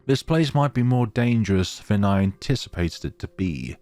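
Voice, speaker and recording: A man's voice: on the low side, animated, moderate pace, a little mellow, no room sound, soft background music. A man hosting a gaming let's play, reading story text aloud dramatically and dropping in casual asides. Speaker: gaming channel host.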